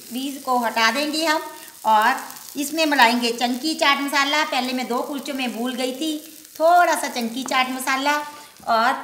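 Woman cooking show host, high bright voice, walks you thru a recipe step by step at home, echoey kitchen w sizzling pan behind. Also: slight background noise. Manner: homely step-by-step explaining with filler "ji".

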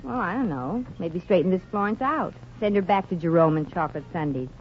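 A woman's voice: lowish voice, expressive, fairly quick, close to an everyday voice. A woman playing a brassy, good-hearted working girl, slangy and sardonic, in a 1940s radio comedy. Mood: concerned. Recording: dull, noisy 1940s radio recording.

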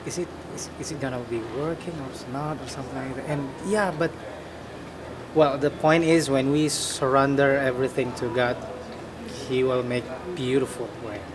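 A man's voice: mid-range voice, steady tone, normal speed, poor audio. A man in his twenties with an Indonesian accent, introducing himself casually to camera. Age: 20s. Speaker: singer. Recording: crowd noise behind the voice. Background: crowd sound.

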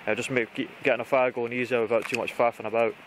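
A man's voice: medium pitch, little pitch movement, fast. British man chatting casually outdoors, noisy background. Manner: informal, chatty. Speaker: outdoor vlogger. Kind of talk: casual gear walkthrough to camera. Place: outdoors in conifer woodland. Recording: outdoor recording with clear background noise.

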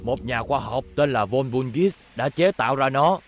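A man voicing a calm, kindly old male scientist in a cartoon dub, low and reassuring. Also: normal speed, a little hiss or hum, lightly acted. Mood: grave. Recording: music behind voice.

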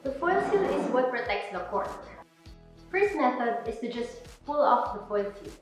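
A woman's voice: fairly high pitch, sing-song, moderate pace, faint music behind. Young woman explaining in an echoey room. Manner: clear, plain step-by-step explaining. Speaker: wine presenter. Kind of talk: instructional demo. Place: indoor room with a table.

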